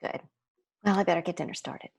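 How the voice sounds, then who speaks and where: An actress reading a caring mother checking on her daughter, gentle and brisk. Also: on the low side, fairly even intonation, gravelly, slight background noise.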